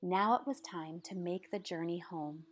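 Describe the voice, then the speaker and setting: A woman narrating a storybook aloud in a measured, expressive way, with dramatic pauses. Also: lowish voice. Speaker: storybook reader. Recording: music behind the voice. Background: background music.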